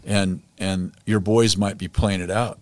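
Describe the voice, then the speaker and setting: Enthusiastic low-voiced male podcast host. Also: fairly even intonation, measured pace, darkish tone. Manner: enthusiastic, encouraging. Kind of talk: conversational interview.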